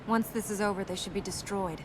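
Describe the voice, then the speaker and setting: An actress playing a serious, resolute young princess in a video game, measured and matter-of-fact. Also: female voice, medium pitch, fairly even intonation, natural voice. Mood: solemn. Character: solemn, resolute young princess guiding an adventurer. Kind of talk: video game character acting. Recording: noisy game capture with ambience.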